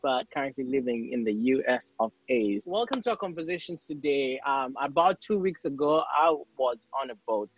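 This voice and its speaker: A man's voice: mid-range voice, animated, normal speed, hardly any pauses, lo-fi sound, a little hiss or hum. A man from Kenya hosting a podcast, telling a story and asking thoughtful questions. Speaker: podcast host.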